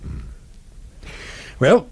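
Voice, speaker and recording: An actor playing a shrewd, self-assured police inspector in a radio drama, terse and measured in manner. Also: male voice, a little mellow. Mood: courteous, assured. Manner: terse, measured, courteous but brusque.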